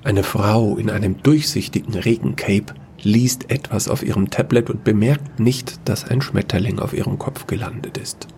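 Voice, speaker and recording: Guy w a gravelly lowish voice reading a scifi fairy tale story, measured, bit of noise behind. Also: a little mellow. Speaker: narrator. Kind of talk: scripted sci-fi fairy-tale audio story narration.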